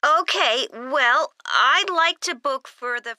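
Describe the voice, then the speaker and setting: A woman's voice: sits high, lively intonation, takes it slowly, sharp tone, no echo, studio quality, heavily acted. An actress playing a polite, agreeable mother making a booking enquiry in a listening-test dialogue.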